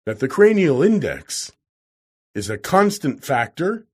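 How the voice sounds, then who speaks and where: Male reader voicing a bullying, roaring old professor, loud and husky, storybook reading. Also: on the high side, big pitch swings, measured pace, voice pushed up. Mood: scornful. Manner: blustering, roaring. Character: hot-tempered bearded older male professor, roaring.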